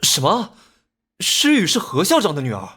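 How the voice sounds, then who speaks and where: A man playing an emotional, indignant young male student in an animated drama, speaking in an agitated, protesting way. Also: high-pitched, moderate pace, slight background noise, full character voice. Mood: shocked. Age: young adult.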